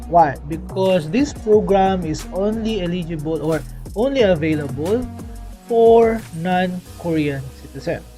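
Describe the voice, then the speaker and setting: High-voiced young man, chatty and mellow. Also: unhurried, soft background music. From Philippines. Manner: chatty, repeats "okay" often, frequent fillers and restarts. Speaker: vlogger explaining a scholarship. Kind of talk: casual explanatory vlog. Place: indoors, small room.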